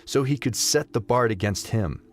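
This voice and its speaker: A man's voice: medium pitch, expressive, moderate pace. A man narrating a scripted story as the narrator of an audio drama.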